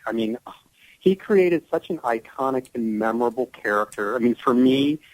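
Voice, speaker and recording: A man's voice: medium pitch, animated, moderate pace, no echo, poor audio. A middle-aged American man from the Chicago area, an actor telling anecdotes as a radio guest, effusive and dramatic in his emphasis. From Chicago area, United States. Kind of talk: radio interview, anecdotal storytelling.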